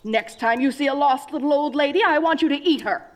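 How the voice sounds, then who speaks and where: Woman playing a high-voiced dorky evil queen. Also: fairly quick, natural voice. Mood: scolding. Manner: theatrical, gloating, mock-menacing. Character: dorky self-styled evil sorceress queen, gleeful and petulant. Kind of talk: comedic scripted audio roleplay addressed to listener.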